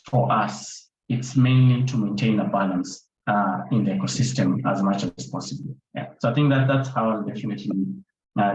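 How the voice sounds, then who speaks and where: A man's voice: on the low side, a little mellow. A man speaking off the cuff as an environmental educator on a panel, emphatic and often repeating his phrases. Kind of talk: extemporaneous panel discussion. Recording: roomy, dull, noisy call audio.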